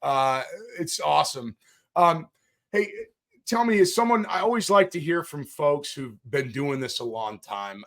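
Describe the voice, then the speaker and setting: A man's voice: a little high, expressive, a little slow. A man hosting a casual interview, upbeat and encouraging as he asks questions. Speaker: interview host.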